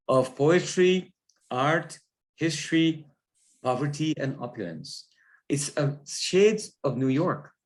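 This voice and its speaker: Male audience commenter from Guyana, mid-pitched, formal, speaking in short separated phrases. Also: darkish tone. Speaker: audience member giving comments. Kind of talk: formal, appreciative remarks.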